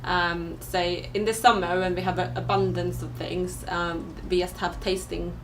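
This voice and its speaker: A woman who works as a farm educator, giving a relaxed interview with some fillers and restarts. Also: lowish voice, measured pace. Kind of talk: casual interview. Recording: distant mic with background noise.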